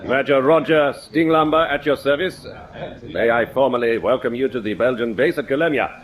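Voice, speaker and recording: An actor playing a ceremonious, polite Belgian army major in a radio drama, formally addressing guests. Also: male voice, medium pitch, moderate pace, lightly acted.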